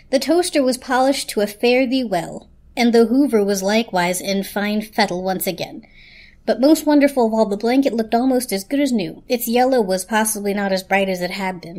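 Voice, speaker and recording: A woman's voice: on the high side, darkish tone. A woman narrating a dramatic reading of a children's story.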